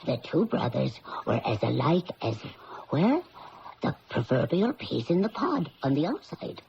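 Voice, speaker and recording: Chatty housekeeper with very animated pitch. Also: female voice, unhurried, creaky, heavily acted. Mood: chatty, confiding. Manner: deferential, chatty, slightly flustered. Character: polite, chatty, folksy female housekeeper. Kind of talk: radio drama acting. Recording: muffled old radio recording, faint hiss.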